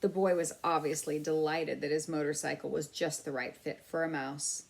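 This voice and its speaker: A woman storyteller reads a children's book aloud. Her voice is on the low side with a clear vocal fry. The narration is expressive. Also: moderate pace.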